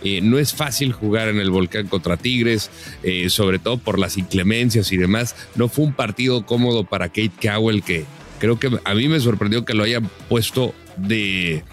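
A Mexican man on a sports podcast, giving measured, analytical football commentary with frequent "eh" fillers. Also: fairly low pitch, soft background music. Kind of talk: casual football analysis chat.

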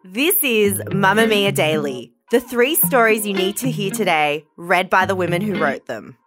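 Female podcast host, very animated intonation, scripted intro over a clear music bed. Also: medium pitch, normal speed.

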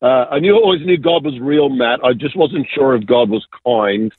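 A middle-aged man with an Australian accent, born in Argentina, telling his faith story as an interview guest, hesitant and full of restarts. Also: medium pitch. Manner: halting, many restarts and fillers. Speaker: guest, business pastor. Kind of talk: casual interview, testimony. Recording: tinny phone line.

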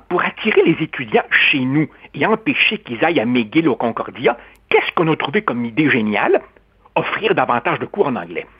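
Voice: mid-range voice, breathy edge. A speaker, arguing a point in a radio discussion, with emphatic rising stresses. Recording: dull lo-fi radio sound.

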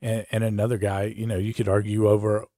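A man's voice: on the low side, level tone, fast, mellow, no room sound, clean studio sound. A man co-hosting a podcast in a casual, conversational style, explaining things in plain everyday phrases. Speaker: podcast co-host.

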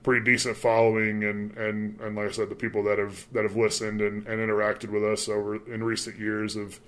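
A man's voice: fairly low pitch, completely flat delivery, brisk, fairly bright tone, smooth. A young man with a mild Southern accent, chatting loosely on a podcast with many fillers and restarts. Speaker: departing sports reporter. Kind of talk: casual podcast chat.

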